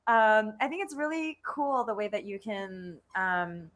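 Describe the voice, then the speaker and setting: Woman chatting on a video call panel, mid pitch, giggly, kinda halting. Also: lively intonation, a bit bright. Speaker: playwright panelist. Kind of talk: casual panel discussion.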